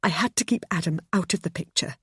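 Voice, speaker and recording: A woman voicing a frightened, tearful middle-aged mother who speaks in a high, breaking voice. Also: normal speed, a little mellow, husky, no echo, clean studio sound. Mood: distraught.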